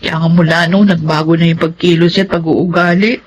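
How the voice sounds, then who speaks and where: A woman's voice: on the low side, flat delivery, a little fast, highs cut off, a little hiss or hum, natural voice. A woman playing a caring elder sister in a radio melodrama, polite and emotive. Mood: concerned. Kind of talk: Filipino radio melodrama acting.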